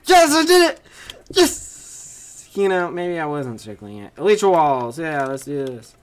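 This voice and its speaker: A teenage boy commentating his own game play at home, reading signs aloud and yelping loudly at his wins and deaths, his voice going hoarse. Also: fairly high pitch, very animated, measured pace. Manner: shouts and squeals when failing or winning, reads signs aloud. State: losing his voice. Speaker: gaming video creator. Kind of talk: excited gameplay commentary. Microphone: desk mic with webcam.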